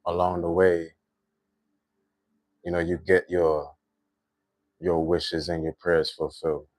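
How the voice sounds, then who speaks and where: A man with a Trinidadian accent hosting a livestream, talking in a reflective, unhurried way with lots of "you know" and "right". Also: sits low, flat delivery, darkish tone, no echo. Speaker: livestream host. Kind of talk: spiritual livestream monologue.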